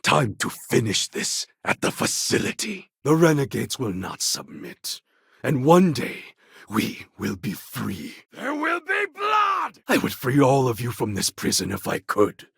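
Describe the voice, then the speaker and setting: Casting: proud, brooding game warlord, very husky, slow and deliberate, speaking like a solemn orator. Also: male voice, medium pitch, a bit bright, dry recording, clean studio sound, full character voice. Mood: proud, resolute, then battle fury. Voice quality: husky, gravelly. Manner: grave, deliberate, formal oratorical phrasing. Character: proud, brooding male warlord clone, fierce rebel leader. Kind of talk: video game character acting.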